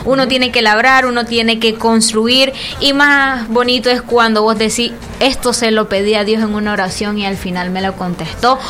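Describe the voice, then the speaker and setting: A woman's voice: fairly high pitch, steady tone, normal speed, hardly any pauses, fairly bright tone, slight background noise. A woman, co-hosting a casual interview and speaking earnestly. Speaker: co-host.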